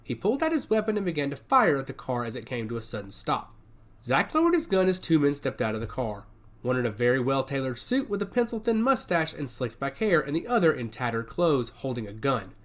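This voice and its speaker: A man's voice: medium pitch, very animated, fairly quick. A man narrating a scripted story in a dramatic audiobook style.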